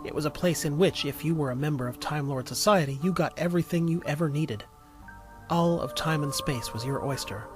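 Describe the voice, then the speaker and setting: A man's voice: on the high side, a little fast. A man reading scripted narration for an audio drama in a storytelling style. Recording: music under the voice. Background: music.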